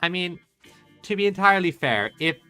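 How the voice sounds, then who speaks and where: A man's voice: sits high, lively intonation, normal speed, sharp tone, smooth, soft background music. A man giving casual commentary as he plays through a game, talking off the cuff. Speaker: streamer playing and commenting on a game.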